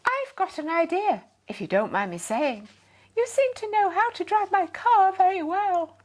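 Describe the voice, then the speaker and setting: Storybook older lady, voiced by a woman: well-to-do, flustered and polite, high voice, very animated. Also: moderate pace. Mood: grateful, gracious. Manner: gushing, apologetic, polite.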